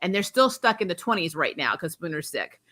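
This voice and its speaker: A woman's voice: fairly low pitch, sing-song, a little fast, pushed and bright. A woman hosting a casual, chatty TV recap livestream in an informal, giggly, rambling way, often correcting herself. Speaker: host of a TV show recap livestream. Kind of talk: casual chatty episode recap with acted-out quotes.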